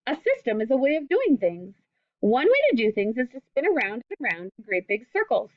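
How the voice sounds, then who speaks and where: A woman's voice: fairly high pitch, a little fast, no room sound, narrow, tinny audio. A woman reading a children's book aloud expressively, with simple explanatory asides in the manner of a teacher. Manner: expressive, simple phrasing aimed at young children.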